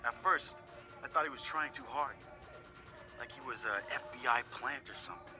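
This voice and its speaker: A man's voice: medium pitch, normal speed, lightly acted. Man voicing a young hacker, laid-back, wry, profane, game audio log, mid-range voice, music underneath. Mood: candid. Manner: casual, profane, wry asides. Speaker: hacker describing teammates. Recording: clear music behind the voice.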